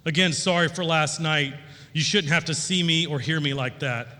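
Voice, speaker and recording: A middle-aged man delivers an informal sermon from a church stage. His voice sits high for a man, with a bright, forceful edge. He speaks candidly in a folksy storytelling style, and a long reverb trails his words through the hall. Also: normal speed. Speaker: pastor. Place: large church auditorium, on stage.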